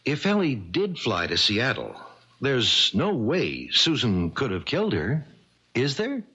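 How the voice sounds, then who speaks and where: An actor playing a concerned, level-headed friend in a radio mystery, asking probing questions in a reassuring way. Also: male voice, medium pitch, huge pitch swings, a little slow, bright, forceful tone, slight background noise, full character voice. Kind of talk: 1970s radio mystery acting. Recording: dull, lo-fi old radio broadcast.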